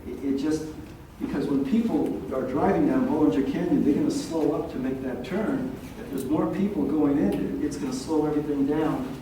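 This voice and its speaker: Soft-spoken older man in an echoey hall. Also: a little high. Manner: soft-spoken, rambling with pauses. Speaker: resident speaking at a public meeting. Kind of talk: public comment at a community meeting. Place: large echoey meeting room. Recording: echoey room, distant mic, faint hiss.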